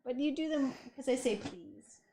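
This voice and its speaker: A woman's voice: sits high, normal speed, vocal fry, warm tone, slight background noise. A Canadian woman co-hosts a live stream, reading viewer messages aloud and bantering with her partner. She keeps a playful, teasing tone throughout. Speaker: co-host reading viewer comments.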